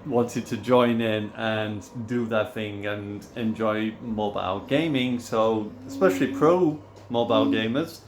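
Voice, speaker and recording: A man, hoarse from losing his voice, talking casually and at length to his viewers as a gaming YouTuber. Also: lowish voice, slight background noise. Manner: rambling, repeats "obviously" and restarts often. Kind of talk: casual rambling vlog talk.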